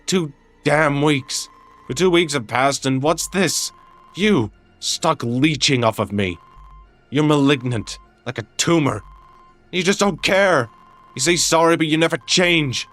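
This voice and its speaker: A man's voice: mid-range voice, lively intonation, a little fast, natural voice. A man playing a wounded, volatile young man in a drama, a possessive friend who pleads, accuses and guilt-trips with emphatic delivery. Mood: furious, bitter. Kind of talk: dramatic dialogue acting.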